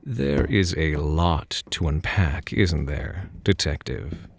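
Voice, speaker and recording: A man playing a suave, teasing phantom thief in an intimate ASMR roleplay, soft-spoken and coaxing, speaking straight to the listener. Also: very deep voice, slow pace, slight background noise, exaggerated voice. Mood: probing, coaxing. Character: suave, teasing male phantom thief posing as prison guard.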